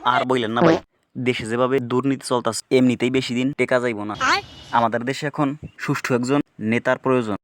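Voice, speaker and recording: A man's voice: medium pitch, fairly even intonation, a little fast, close to an everyday voice. A man with a Bangladeshi accent voicing a despairing talking map in a cartoon, complaining wearily. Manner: weary, complaining. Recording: very noisy track with clicks.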